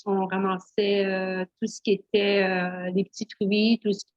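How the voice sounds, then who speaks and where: A middle-aged Franco-Ontarian woman tells her story as an interview guest, searching for words, full of small restarts and soft fillers. The sound is dull and muffled, a lo-fi recording with a dry, close feel. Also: mid-range voice, flat delivery, unhurried, no room sound. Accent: Franco-Ontarian French. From Northern Ontario, Canada. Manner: many fillers and restarts, simple and candid. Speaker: guest, naturopath. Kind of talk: hesitant conversational storytelling.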